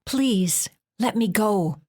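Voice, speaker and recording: A woman's voice: medium pitch, animated, very slow, warm tone, voice pushed up. A woman voicing a frightened, freezing young girl in a storybook, pleading shakily through chattering teeth. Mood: scared.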